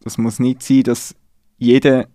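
A Swiss-accented man talks as a guest in a relaxed interview, pausing and restarting as he feels his way through a thought. His voice is mellow and warm, recorded close and dry with no room sound. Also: medium pitch, dry recording. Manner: thoughtful, searches for words with restarts. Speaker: guest musician. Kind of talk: casual interview conversation.

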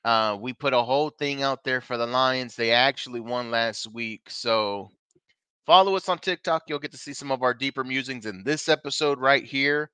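A man's voice: mid-range voice, moderate pace, bright, forceful tone, smooth, no room sound. A man co-hosting a casual live wrestling podcast from a bar, upbeat and plugging the show between topics. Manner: upbeat, plugging social media, teasing. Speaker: podcast co-host.

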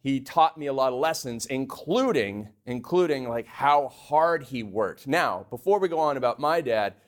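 A man from Canada co-hosting a podcast in a studio, speaking in a relaxed, reflective way with frequent restarts. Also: mid-range voice, normal speed. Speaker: podcast co-host. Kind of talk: casual podcast conversation.

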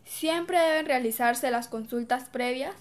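A woman's voice: high voice, measured pace. A woman acting a worried, unsure villager in an educational radio drama.

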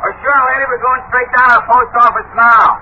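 A man playing an excitable, chummy working-class postal worker in old radio comedy, slangy and eager. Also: extremely high pitch, a little fast. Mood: chatty. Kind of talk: 1940s radio comedy acting. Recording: muffled, noisy old radio recording.

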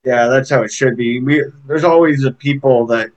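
A man's voice: mid-range voice, moderate pace, darkish tone. A man interviewing a rapper, asking loose, rambling questions in an easygoing way.

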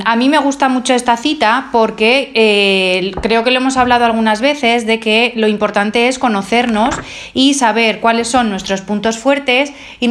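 Spanish woman podcast host, slightly high voice, soft encouraging tone, hesitant pauses. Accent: Spain Spanish. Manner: gentle, encouraging, addresses listeners directly; hesitant, many drawn-out fillers. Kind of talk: solo self-help podcast monologue.

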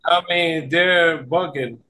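Bright, higher-pitched man bantering casually. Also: takes it slowly. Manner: emphatic, repetitive, slangy. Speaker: podcast guest. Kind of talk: casual banter about movies and games.

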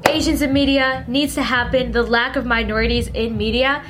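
Chatty teenage girl with a higher voice. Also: fairly even intonation, moderate pace, background noise. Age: late teens. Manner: chatty, lots of "like" fillers, upbeat. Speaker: interview guest, social media creator. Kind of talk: casual interview chat.

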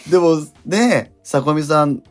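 A man hosting a radio show in casual talk, speaking in a halting, heartfelt way and repeating words for emphasis. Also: fairly high pitch. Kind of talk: casual radio talk responding to listener mail. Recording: radio talk over background music.

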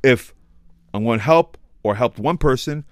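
A man hosting a casual podcast chat, talking loudly and passionately, swearing and filling his speech with "like". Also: mid-range voice, normal speed, no echo, clean studio sound. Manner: loud, passionate, swears, heavy use of "like". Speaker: podcast host.

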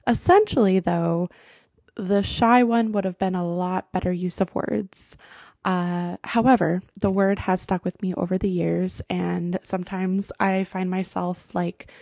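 A young woman hosting her own podcast, chatting casually and filling her talk with likes and ums. Her voice is mellow with a gravelly edge, recorded dry and close. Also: lowish voice, steady tone, a little slow, no echo. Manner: chatty, with frequent fillers and laughs. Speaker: podcast host.

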